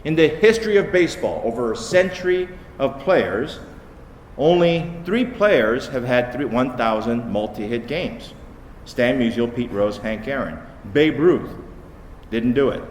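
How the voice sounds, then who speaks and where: A man's voice: medium pitch, animated, measured pace. A man giving a short conference talk, punchy and emphatic, checking in with the audience with "okay?". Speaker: conference speaker. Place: large conference hall. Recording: echoey hall with light noise.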